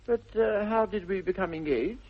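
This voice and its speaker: An actor with a British accent playing a smitten, flustered upper-class young Victorian gentleman in a 1960s radio comedy, hemming and gushing in a plummy manner. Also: sits high, moderate pace, breathy edge. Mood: puzzled. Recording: dull vintage 1960s radio recording.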